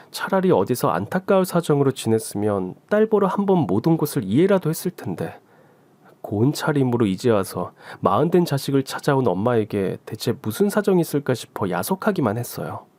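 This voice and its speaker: Calm man narrating, dry close sound. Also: mid-range voice, dry recording. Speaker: story narrator. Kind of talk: first-person story narration.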